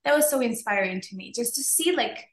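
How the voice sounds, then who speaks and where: A woman's voice: fairly high pitch, expressive, a little fast, vocal fry. Venezuelan woman chatting in an echoey room. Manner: chatty, many fillers like "like" and "um". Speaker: beauty entrepreneur guest. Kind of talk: casual interview answers.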